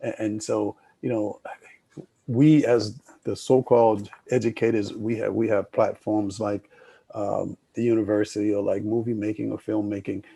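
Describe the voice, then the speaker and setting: A man's voice: on the low side, flat delivery, normal speed, gravelly, mellow, breathy edge, no echo. An older man moderating a panel discussion, talking in a rambling way with many restarts.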